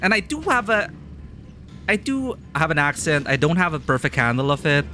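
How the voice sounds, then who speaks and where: A man's voice: a little high, sing-song, moderate pace, bright, forceful tone. A man casually chatting while streaming a video game, laughing often and sometimes putting on mocking villain voices. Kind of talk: casual live-stream commentary.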